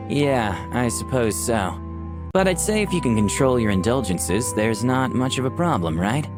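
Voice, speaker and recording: Man as an easygoing young hero, very animated. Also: medium pitch, unhurried, natural voice. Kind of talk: scripted first-person narration and dialogue. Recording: dry, faint music behind.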